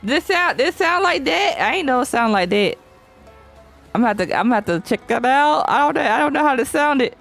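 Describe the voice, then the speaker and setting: Lively, chatty woman streamer. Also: high voice, a little fast, gravelly, faint music behind. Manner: chatty, reads chat aloud, slangy and playful. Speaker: VTuber streamer. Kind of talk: casual live-stream chat while gaming.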